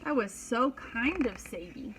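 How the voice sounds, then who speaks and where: A woman teacher reading a storybook aloud to young children, deliberate and expressive, pausing to explain. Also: a little high, slight background noise, low-quality recording. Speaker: pre-kindergarten teacher.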